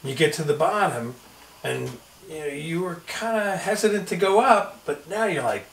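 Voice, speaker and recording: A man's voice: fairly high pitch, lively intonation, normal speed, recorded from a distance. A man shares stories in a loose, casual chat. His voice is picked up a little way from the mic in a very echoey room, with faint noise behind. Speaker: firefighter in a panel chat. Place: indoor workshop.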